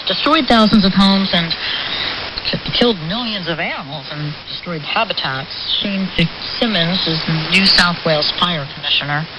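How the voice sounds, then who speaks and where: A woman's voice: lowish voice, normal speed. A woman working as a news radio host, interviewing and reading news over shortwave. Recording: noisy shortwave radio reception.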